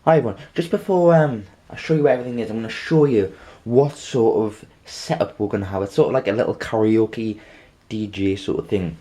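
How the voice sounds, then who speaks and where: A man presenting an informal how-to demonstration, halting and rambling, often checking in with the listener. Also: lowish voice, mellow. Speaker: video tutorial presenter.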